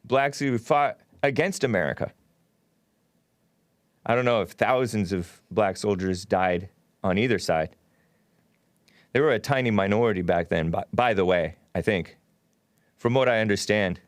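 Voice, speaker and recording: A man's voice: on the low side, a little slow, pauses often, no echo, studio quality. A man hosting a call-in talk show, talking casually and laughing at his own remarks.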